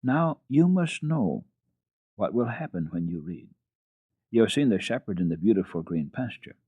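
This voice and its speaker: A man voicing a kindly, encouraging old grandmother in a storybook reading, coaxing and deliberate but gently firm. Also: lowish voice, big pitch swings, slightly rough. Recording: narrow-band, echoey old radio recording.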